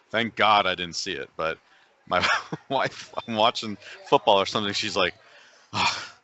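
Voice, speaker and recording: A man co-hosting a podcast, chatting casually with a loud voice over a video call from a coffee shop. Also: lowish voice, a little slow, background noise. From New York State, USA. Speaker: podcast co-host. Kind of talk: casual chat. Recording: dull lo-fi call audio.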